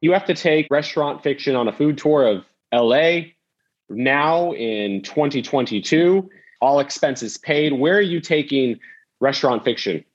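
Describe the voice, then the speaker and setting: A man's voice: a little high, a little slow. A man conversationally interviewing a guest as a podcast host. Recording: dull lo-fi audio.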